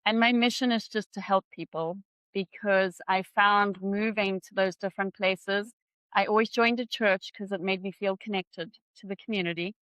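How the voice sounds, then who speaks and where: Zimbabwe-born woman guest, bright smooth voice, dry close sound. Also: mid-range voice, fairly even intonation, normal speed, dry recording, rough recording. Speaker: guest, finance coach. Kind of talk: interview conversation.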